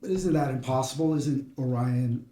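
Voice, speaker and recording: A man's voice: medium pitch, normal speed, mellow, roomy sound, close to an everyday voice. A man reading a screenplay part at a table read, playing an inquisitive, joking actor. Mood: curious.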